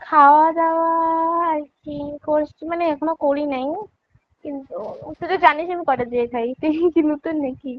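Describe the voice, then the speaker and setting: A woman's voice: high-pitched, steady tone, normal speed. A young woman, chatting casually with a friend over a lo-fi phone call. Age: young adult. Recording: dull lo-fi phone call audio.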